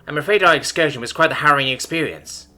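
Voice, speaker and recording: A man's voice: on the high side, fast talker, bright, forceful tone, faint music behind, voice pushed up. A man voicing a small, polite hoofed alien woman with a formal way of speaking. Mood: gentle.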